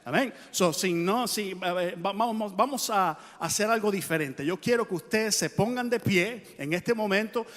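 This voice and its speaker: A high-pitched man speaks from a church pulpit, introducing a guest speaker. He rouses the congregation and asks them to respond, and his voice echoes through a large hall. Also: normal speed, few pauses, fairly bright tone. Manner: rousing, calls the congregation to respond.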